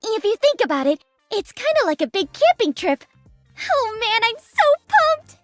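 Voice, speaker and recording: A woman's voice: very high-pitched, very animated, moderate pace, no room sound, faint music behind. A woman voicing a cheerful, eager young android girl in an anime game, perky, naive and earnest. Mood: excited, chirpy.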